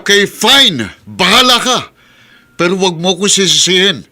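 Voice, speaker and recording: A man's voice: sits high, lively intonation, normal speed, pushed and bright, exaggerated voice. A Filipino man acting in a radio drama as an aggrieved, earnest husband. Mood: angry, fed up. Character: aggrieved, earnest husband longing for old sweetheart.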